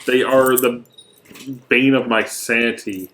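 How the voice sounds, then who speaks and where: A man's voice: mid-range voice, fairly even intonation, unhurried, fairly bright tone. A man co-hosting a podcast, chatting casually with a friend in a joking, sometimes mock-dramatic way. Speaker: podcast co-host. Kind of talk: casual banter between friends.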